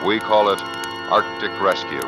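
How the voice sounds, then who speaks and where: A man's voice: lowish voice, moderate pace. A man announcing a mid-century radio thriller in a formal, portentous scripted style. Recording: old radio recording with loud music.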